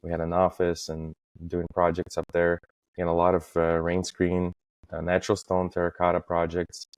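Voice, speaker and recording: Low-voiced man, very even in pitch. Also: moderate pace, clear, resonant tone, no echo. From Estonia. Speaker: podcast guest, facade industry professional. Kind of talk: casual interview, recounting career.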